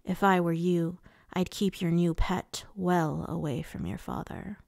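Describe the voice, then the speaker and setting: Menacing immortal male High Lord, played by a woman narrator, taunting and smooth, unhurried, dry storybook read. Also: steady tone, darkish tone, no echo. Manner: taunting, purring, casually cruel.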